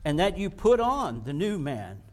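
A man preaching a sermon, reading scripture slowly and pointedly, then explaining it in casual asides and repeating key words for emphasis. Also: a little high, big pitch swings. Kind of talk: sermon, Bible teaching.

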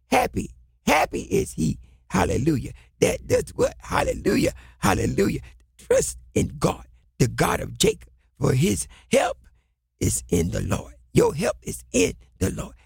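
A man preaching a fervent sermon in a raspy, gravelly voice, exhorting with impassioned, repeated call-and-response phrases that swing into shouts. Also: high-pitched, no room sound, clean studio sound.

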